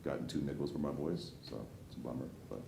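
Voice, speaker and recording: A man in his thirties from Dayton, a podcaster, telling stories in casual talk-show chat with a deep voice. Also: quick pace, gravelly, reverberant, background noise. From Dayton, Ohio. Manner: laid-back, joking storyteller. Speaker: podcaster guest.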